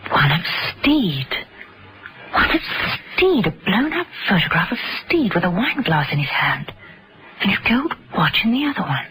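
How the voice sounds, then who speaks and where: A cool, quick-witted female sleuth in a radio spy thriller. She sounds composed and wry, with a bright, crisp edge and lively intonation. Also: medium pitch, faint music behind, poor audio. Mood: puzzled, musing. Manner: composed, wry, thinking aloud.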